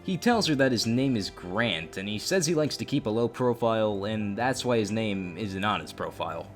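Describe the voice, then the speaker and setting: A man's voice: lowish voice, expressive, normal speed. A man doing exclamatory online commentary, reading out chat messages and reacting with open disgust. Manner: exclamatory, openly disgusted asides. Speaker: YouTube host narrating a story. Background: background music.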